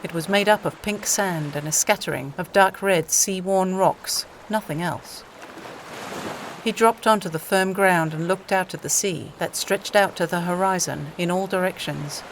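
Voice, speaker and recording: A woman's voice: lowish voice, normal speed, a little hiss or hum. A woman reading scripted story narration for an audio play. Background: music.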